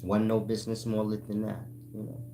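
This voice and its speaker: A man's voice: on the low side, little pitch movement, brisk, gravelly, dark tone. An American man giving a casual explanatory monologue in blunt, colloquial, sweary language, often checking that listeners follow. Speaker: online spiritual teacher and channel host. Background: music.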